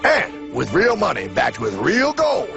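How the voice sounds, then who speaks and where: A man's voice: high voice, wildly swooping pitch, a little slow, vocal fry, muffled recording. A man narrating a comic cartoon in a sardonic, chatty way, talking straight to the listener. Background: music.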